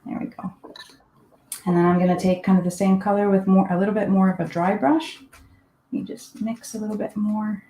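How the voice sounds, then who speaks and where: A woman's voice: mid-range voice, fairly even intonation, fairly quick, mellow. A woman from Finland teaching a live painting class from her desk, talking casually and thinking aloud as she works. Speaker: art teacher. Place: home desk. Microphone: live online class setup. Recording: roomy echo.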